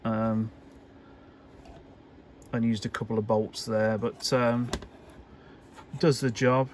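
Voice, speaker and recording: A man giving a casual, unscripted workbench demonstration in halting, hesitant phrases, as an electronics hobbyist would. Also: fairly low pitch, level tone. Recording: clear background noise.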